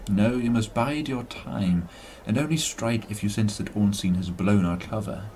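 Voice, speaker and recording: Male actor as smooth political schemer for radio drama. Low-ish register, grandly wordy, cordial on top, calculating underneath. Slightly noisy track. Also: normal speed, a little mellow, close to an everyday voice. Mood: scheming, imperious. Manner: pompous, florid, formal wording.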